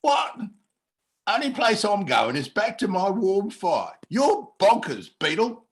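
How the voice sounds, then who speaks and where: A performer voicing a salty, blustering cartoon bug who plays a fearsome pirate captain, gravelly and husky, in growling pirate dialect with theatrical menace. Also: medium pitch, huge pitch swings, takes it slowly, heavily acted. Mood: grumpy, baffled. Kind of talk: animation table read.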